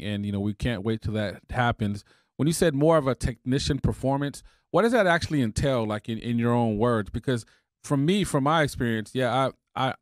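A man's voice: medium pitch, dry recording, clean studio sound. A man co-hosting a podcast interview, asking long questions with many fillers. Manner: thoughtful, hedging fillers. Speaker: podcast co-host. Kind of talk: casual interview.

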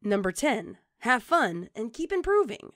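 A woman's voice: fairly high pitch, very animated, unhurried, fairly bright tone, no room sound, studio quality. A woman reading scripted tutorial narration, addressing the listener directly in an instructional way. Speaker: tutorial presenter.

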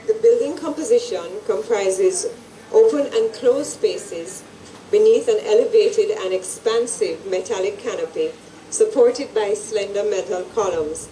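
A woman's voice: medium pitch, mellow, recorded from a distance, a little hiss or hum. Formal woman, echoey hall. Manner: measured, formal delivery. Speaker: event presenter. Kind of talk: formal scripted presentation read aloud. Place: large indoor event hall with stage and audience. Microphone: handheld microphones at a lectern, through PA.

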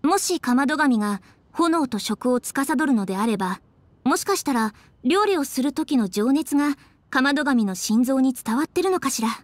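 High-voiced, composed young official. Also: female voice, expressive, normal speed, no echo. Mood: composed, explanatory. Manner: articulate, businesslike, polite. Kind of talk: Japanese video game voice acting.

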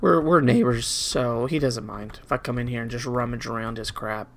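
A man's voice: mid-range voice, moderate pace, fairly bright tone. A man giving casual video game commentary, rambling and muttering playfully to himself as he plays.

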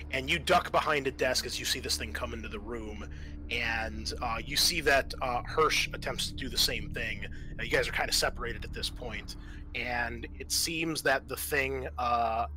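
A man's voice: medium pitch, pushed and bright, a little hiss or hum, poor audio. A man narrating a tabletop horror game as game master, improvising in an informal, descriptive way with some salty language.

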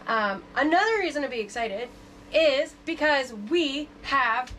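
A woman's voice: sits high, expressive, measured pace, fairly bright tone, slight background noise. Upbeat woman vlogging from her boat. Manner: chatty and upbeat, with fillers. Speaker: sailing vlogger. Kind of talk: casual vlog talk to camera. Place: boat cabin, indoors.

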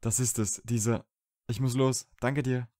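A man's voice: medium pitch, steady tone, unhurried, mellow, dry recording, studio quality, natural voice. A man playing a wryly sullen, lovesick young wizard in a fan fiction audio drama, casual and understated. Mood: excited.

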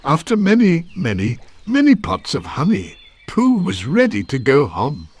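A man narrating a children's story in an expressive read-aloud style. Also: a little high, a little slow, slightly rough.

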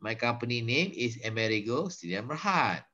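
A man's voice: medium pitch, very animated, normal speed, bright, forceful tone. A Malaysian man in his fifties, a patient English teacher coaching a student on a video call, switching between Malay and English. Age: 50s. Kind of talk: online conversation lesson. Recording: slightly dull video call audio.

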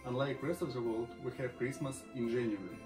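A man's voice: medium pitch, steady tone, a little fast, music in the background. Man in an echoey room. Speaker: company employee. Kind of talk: recorded greeting and explanation.

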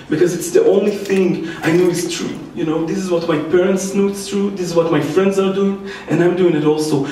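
A man's voice: on the high side, mellow. A man from Israel with an Israeli accent and Hebrew as his first language, telling a personal story to an audience as an activist speaker, recorded from a distance in a church. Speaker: activist speaker, former soldier. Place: large indoor church. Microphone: distant microphone. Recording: echoey church, distant mic, faint noise.

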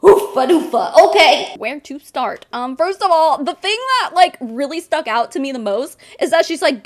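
A young adult woman giving casual commentary to camera on a close desk microphone, with a sarcastic, mocking, animated manner and some swearing. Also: fairly high pitch. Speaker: video host, commentator. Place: small room at home, indoors. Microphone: desk microphone on boom arm, close. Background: music.

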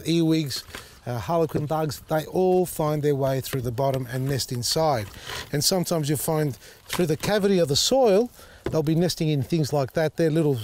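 A man, slightly husky as his voice recovers, chatting casually while demonstrating repotting outdoors in his garden. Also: fairly high pitch. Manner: chatty, thinks out loud, many fillers. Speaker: gardening show host. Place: outdoor garden beside a tall hedge.

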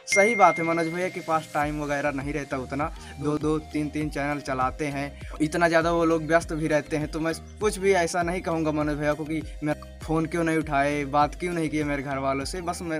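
High-voiced young Indian man vlogging over music. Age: young adult, late teens. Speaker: video host. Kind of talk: casual vlog talk to camera.

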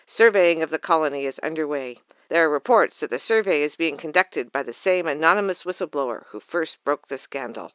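A man's voice: a little high, expressive, brisk, hardly any pauses, a bit bright, smooth, dry recording. A man voicing an earnest, formal radio news reporter in a sci-fi comedy audio drama. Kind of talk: scripted newscast in an audio drama.

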